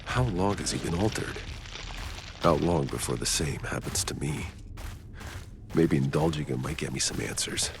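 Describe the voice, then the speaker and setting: Low-voiced, weary gruff soldier. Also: male voice, animated, moderate pace, long pauses, background noise, full character voice. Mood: guarded, pensive. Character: weary, gruff adult male sci-fi soldier adventurer. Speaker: player character Outrider. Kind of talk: video game cutscene acting. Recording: loud music behind the voice.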